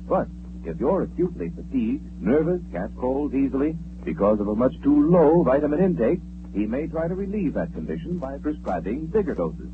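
A man announcing and pitching a sponsor in formal, emphatic 1940s radio style. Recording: narrow, noisy vintage radio recording.

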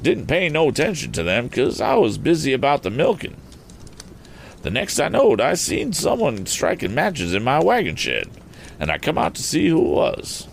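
An excitable rustic farmer of middle age or older, acted out by a man reading a storybook. He is suspicious and eager, with folksy exclamations. His pitch swoops dramatically, with some creak in the voice and faint noise behind. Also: moderate pace, exaggerated voice. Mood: folksy, explaining. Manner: folksy country talk, exclamatory.

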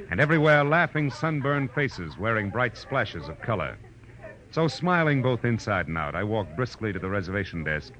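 An American actor playing a hard-boiled, wisecracking private eye with wry, clipped delivery in a 1940s radio drama. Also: male voice, lowish voice, fairly bright tone. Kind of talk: 1940s radio detective acting, first-person narration. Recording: muffled old radio recording, music behind.

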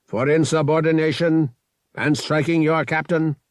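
A man's voice: a little high, steady tone, normal speed, no room sound, studio quality, pitched above the performer's own voice, close to an everyday voice. A man voicing a cruel, ruthless starship captain in an audiobook, with a harsh, menacing, commanding snarl.

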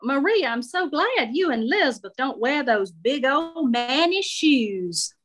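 A woman's voice: high-pitched, very animated, measured pace, fairly bright tone, voice pushed up, heavily acted. A woman voicing an outrageous, nosy Southern lady in her seventies, effusive and full of endearments, in a personal story. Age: 70s. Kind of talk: live-style personal storytelling.

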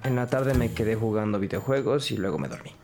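A man's voice: on the low side, expressive, quick pace, music in the background. A man acting a sullen, rebellious teenage boy in an audio crime drama, terse, slangy and defiant. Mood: defiant, curt.

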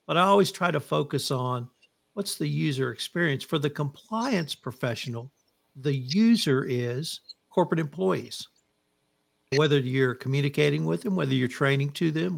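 Gravelly man hosting an interview. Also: mid-range voice. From United States. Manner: thoughtful, winds up long framing questions. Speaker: interview host. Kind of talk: conversational interview questions.

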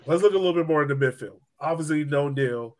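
A man's voice: on the high side, quick pace. A man hosting a casual soccer discussion, conversational and steering the talk along. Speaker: podcast host.

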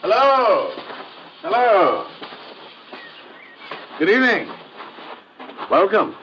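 Older gentleman scientist, fairly high voice, lively intonation, polite, guarded, radio drama, muffled vintage recording. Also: very slow, a little hiss or hum, heavily acted. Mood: genial, courteous. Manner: polite, genteel, probing. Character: courteous, guarded gray-haired male scientist, mild-mannered husband. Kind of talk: old-time radio adventure acting.

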